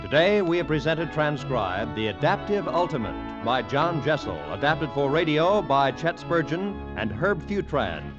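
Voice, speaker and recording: An American man announcing a radio show from the 1940s or 50s in a formal, scripted, measured way. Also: a little high, lo-fi sound. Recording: old radio broadcast, music underneath. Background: loud music.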